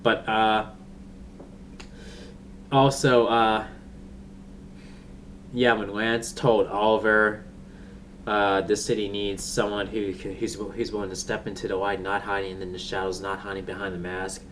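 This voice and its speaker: A man giving a casual, unscripted review as he chats, halting and rambling as he searches for words. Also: on the low side, level tone, fairly bright tone. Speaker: TV episode reviewer. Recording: distant mic with faint background noise.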